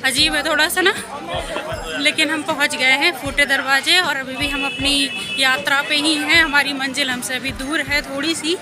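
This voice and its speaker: High-voiced young woman vlogging. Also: fairly even intonation, moderate pace. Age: young adult. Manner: chatty, laughs often. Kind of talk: casual walking vlog. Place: busy outdoor market street. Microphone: phone camera at arm's length. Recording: street crowd noise with music.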